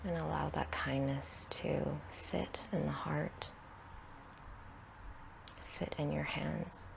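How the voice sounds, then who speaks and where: Slow, low, creaky-voiced woman guiding meditation. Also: steady tone, slight background noise, poor audio. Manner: soothing, unhurried, with long pauses between short phrases. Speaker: meditation guide. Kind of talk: guided meditation narration.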